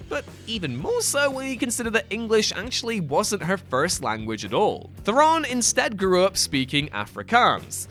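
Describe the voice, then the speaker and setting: A man reading a scripted narration for a list video, brisk and wry, with an enthusiastic manner. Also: on the high side, bright, forceful tone.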